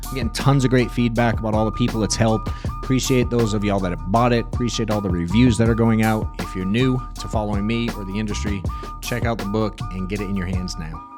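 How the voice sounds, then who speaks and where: A man's voice: steady tone, quick pace. A man doing an upbeat, direct promo for his own book as a podcast host. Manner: upbeat, direct sales pitch. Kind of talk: promo read to camera. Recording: music bed under voice.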